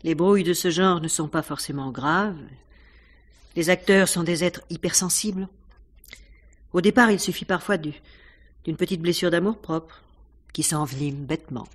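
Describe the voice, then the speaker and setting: A composed woman of the theatre acted by an actress in a radio mystery play, with a fairly low voice. She explains things in a matter-of-fact, knowing way and is dryly frank. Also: moderate pace, long pauses, close to an everyday voice. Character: composed, worldly theatre wife answering an inspector. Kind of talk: French radio mystery drama acting.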